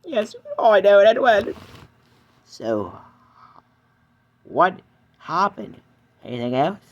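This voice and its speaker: A man voicing a calm, patient male engine who counsels a friend in a fan-made cartoon dub. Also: high-pitched, lo-fi sound, pitched above the performer's own voice, full character voice. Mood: soothing. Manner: soothing, patient, slow.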